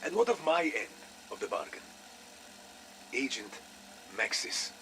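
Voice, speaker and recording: Gravelly, wary Russian double agent. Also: male voice, a little high, very animated, unhurried, bright, forceful tone, a little hiss or hum, heavily acted. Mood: pointed. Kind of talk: cinematic video game voice acting.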